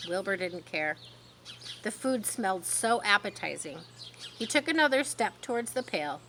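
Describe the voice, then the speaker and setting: A woman reading a children's story in an expressive storytelling style, with informal asides. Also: medium pitch, moderate pace. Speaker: storybook reader. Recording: faint noise with birdsong behind. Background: birdsong.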